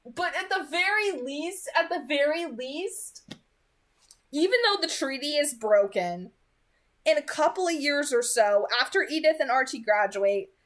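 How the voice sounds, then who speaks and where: A woman with a fairly high voice talks casually as an amateur book reviewer. Her delivery is gushing and emphatic, with informal phrasing throughout. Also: animated, a little slow, fairly bright tone. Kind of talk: read-aloud narration and casual fan commentary.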